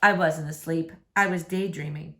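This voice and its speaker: Woman as a sullen low-voiced adolescent boy. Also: steady tone, moderate pace, a bit bright. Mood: sulky. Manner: terse, low-key replies. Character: sullen, heartbroken adolescent boy soccer player.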